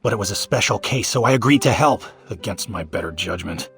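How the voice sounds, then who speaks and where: A man playing a gruff, grumbling but soft-hearted restaurant chef in a video game. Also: on the low side, animated, brisk. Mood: exasperated. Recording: music under the voice.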